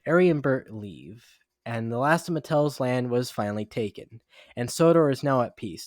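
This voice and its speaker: A man's voice: medium pitch, no room sound, studio quality. A man doing an amateur dramatic reading of a fan story as narrator, stumbling now and then over the words. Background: background music.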